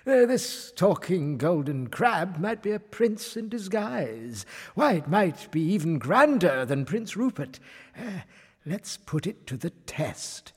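A blustery but easygoing storybook king acted out by a man. He muses and exclaims heartily in a raised, lively voice, taking his time over his words. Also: high voice, slow, husky, in a large hall, pitched above the performer's own voice, full character voice. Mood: musing. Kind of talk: children's storybook character voice.

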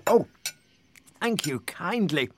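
A tiny magical crab voiced by a man, cheerful and very polite, in a children's storybook. The voice is pitched well up and lilts animatedly as it gently pleads. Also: very animated, slow, background noise, full character voice. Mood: grateful.

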